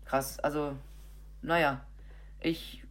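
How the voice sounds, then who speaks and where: A woman's voice: sits low, steady tone, takes it slowly. A woman with a cold, co-hosting a podcast and chatting casually about football with many fillers and restarts. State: has a cold. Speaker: podcast co-host.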